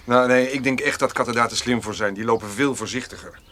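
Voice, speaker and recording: An actor playing an easygoing, teasing country neighbour who talks casually in a naturalistic radio drama. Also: male voice, medium pitch, fast, fairly bright tone, lightly acted. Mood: reassuring. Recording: faint outdoor birdsong and background noise.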